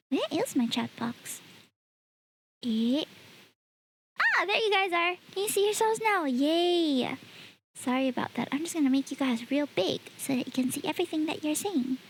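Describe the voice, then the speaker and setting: A woman's voice: high-pitched, expressive, unhurried, a little hiss or hum. A woman, a bit sick and sniffly, chatting casually with her viewers as a streamer and laughing often. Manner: chatty, talks straight to chat, laughs often. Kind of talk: casual livestream chat with viewers.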